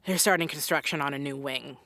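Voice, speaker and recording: A woman's voice: low voice, quick pace, fairly bright tone. A woman playing a hungover, weary young radio station boss in a comedy audio drama, groggy and dry. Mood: dry, irritated.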